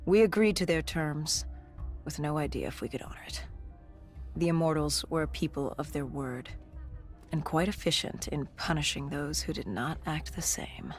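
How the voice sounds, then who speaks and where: An actor playing an augmented soldier in a video game, haunted and resolute, recounting past missions in grave, measured storytelling. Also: medium pitch, natural voice. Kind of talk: dramatic game cutscene narration. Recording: music under the voice. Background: music.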